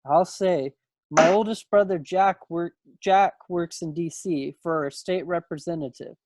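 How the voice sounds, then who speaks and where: A man's voice: fairly high pitch, a little slow, a little mellow, no room sound. A man playing a playful, folksy young small-town suitor from the 1940s in a play reading over a video call. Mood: chatty, earnest. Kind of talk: stage play table read. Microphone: computer microphone on a video call.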